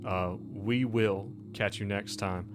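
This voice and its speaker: A man's voice: fairly low pitch, fairly even intonation, slow. A man hosting a tabletop horror role-playing show as game master, narrating scenes and chatting casually. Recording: music playing behind the voice. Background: music.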